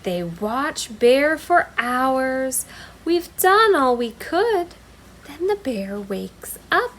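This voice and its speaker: Slow, singsong woman hosting children's storytime. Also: sits high, sing-song, fairly bright tone, a little hiss or hum, poor audio. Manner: singsong, deliberate, repetitive phrasing for small children. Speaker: children's library storytime host. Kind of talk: storytime read-aloud and simple activity for young children.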